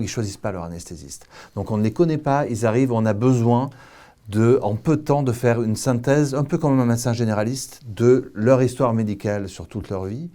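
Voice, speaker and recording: A man's voice: medium pitch, warm tone. A man speaking as an anaesthetist guest, explaining professional matters methodically, point by point.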